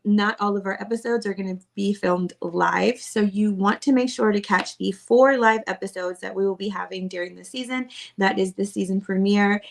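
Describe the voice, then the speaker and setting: A woman's voice: medium pitch, vocal fry. A woman co-hosting a casual podcast chat, bubbly and teasing, laughing often. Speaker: podcast co-host.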